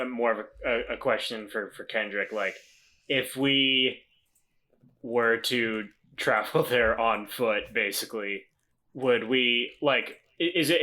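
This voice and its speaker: Male tabletop player, casual delivery, forceful bright tone, average pitch. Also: poor audio. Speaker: player in a tabletop role-playing podcast. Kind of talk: casual game-table chat.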